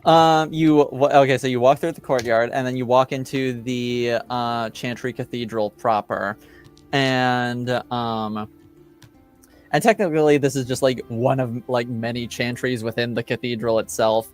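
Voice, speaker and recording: A man narrates a tabletop roleplaying game as game master. He speaks slowly and haltingly, feeling for the next words. His pitch is middling, and music plays behind him. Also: steady tone.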